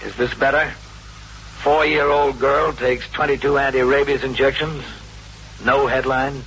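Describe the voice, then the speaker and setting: An actor playing a calm, firm police captain who speaks in a measured, matter-of-fact way in a vintage radio drama. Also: male voice, medium pitch, lightly acted. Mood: dry, firm. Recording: dull, noisy old radio recording.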